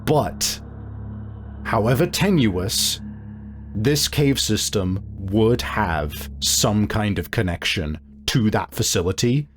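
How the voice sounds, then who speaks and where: A man's voice: fairly low pitch, expressive, slow, faint music behind. A man improvising narration as game master, explaining things emphatically.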